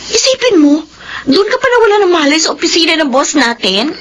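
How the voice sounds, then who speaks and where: An actress playing a blunt, gossipy coworker and friend in a radio drama, chatty in manner. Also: female voice, very high voice, big pitch swings, a little fast, a bit bright, exaggerated voice. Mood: scolding concern.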